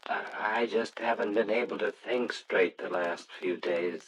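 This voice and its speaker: An actor playing a grieving, tender husband and father in 1940s radio drama style, speaking haltingly and earnestly. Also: fairly low pitch, a bit bright, slight background noise, rough recording. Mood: defeated, remorseful.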